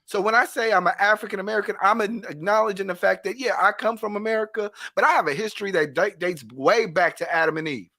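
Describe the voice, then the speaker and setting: A man on a panel arguing his point forcefully and talking over the others. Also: sits high, fast, hardly any pauses. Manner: combative, interrupting, emphatic. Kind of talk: heated panel debate.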